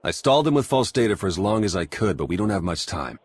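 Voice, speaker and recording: An actor playing a gritty, determined secret agent in a video game, husky and earnest. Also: male voice, lowish voice, very animated, quick pace, no echo. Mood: grim resolve.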